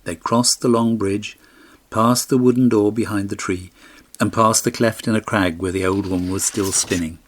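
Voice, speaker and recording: A man's voice: on the low side, steady tone, normal speed. A man narrating a fairy tale as an audiobook, reading expressively from a script.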